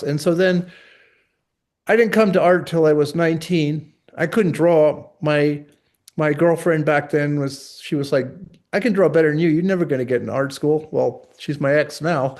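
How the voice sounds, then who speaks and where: A man in his sixties who grew up in Italy and New York City, a sculptor talking as an interview guest in a halting way, with many fillers and restarts. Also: on the high side, darkish tone. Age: 60s. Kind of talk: casual interview talk.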